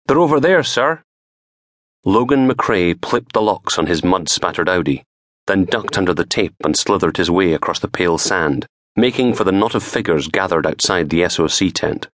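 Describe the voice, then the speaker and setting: Guy reading a crime audiobook, deep voice, dry recording, dramatic storytelling. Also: moderate pace, no echo. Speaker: author reading own audiobook. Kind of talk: scripted crime fiction narration.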